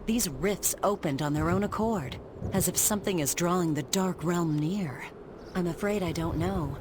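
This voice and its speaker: A woman's voice: fairly low pitch, moderate pace, lightly acted. An actress playing a poised, wry noble lady and martial arts master in a video game, composed and formal. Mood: composed, gracious. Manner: composed, formal, dryly witty. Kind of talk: scripted video game cutscene acting. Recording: clear background noise behind the voice.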